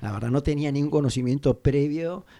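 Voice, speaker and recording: Un hombre con acento argentino, invitado en una charla radial, que cuenta anécdotas entre risas y muchas vacilaciones. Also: male voice, fairly high pitch, fast talker, dark tone. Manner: rambling anecdotes, frequent laughs and hesitations. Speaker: guest. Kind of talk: casual radio conversation.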